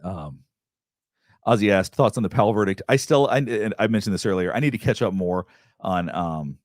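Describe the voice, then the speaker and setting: Low-voiced man chatting on a live stream. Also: moderate pace, no echo, clean studio sound. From Southeastern United States. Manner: rambling, many restarts and fillers, candid. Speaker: therapist hosting a live Q&A. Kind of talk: casual livestream chat answering viewer questions.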